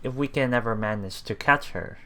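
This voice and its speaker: A man doing casual let's play commentary, haltingly reading and paraphrasing story text aloud while thinking out loud. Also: medium pitch. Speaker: let's player.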